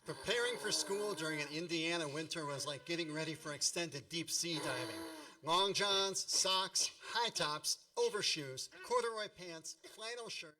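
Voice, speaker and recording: A man's voice: fairly high pitch, normal speed, pushed and bright, recorded from a distance. An actor playing a grown man wryly recalling his boyhood, narrating a radio play in a mock-epic manner.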